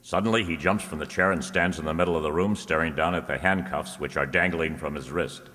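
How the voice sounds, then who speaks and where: A man performs as a formal announcer narrating a radio mystery play. His voice is exceptionally low and carries the long reverb of a hall. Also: steady tone, fairly quick, pushed and bright. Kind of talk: old-time radio drama narration.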